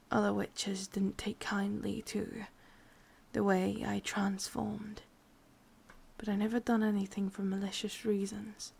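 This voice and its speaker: Woman doing brooding evil witch for asmr roleplay, english accent, slow breathy whisper with some creak. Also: medium pitch. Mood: dark, confiding. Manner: slow, hushed, deliberate. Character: sadistic, brooding female witch, bitter and obsessive.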